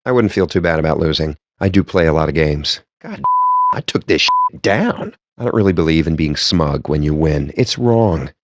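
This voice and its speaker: An actor playing a cocky, foul-mouthed, nerdy poker player in a video game, with a sardonic, smug and theatrically wordy delivery. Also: male voice, very deep voice, lively intonation, normal speed, no echo. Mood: gloating. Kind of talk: video game character banter.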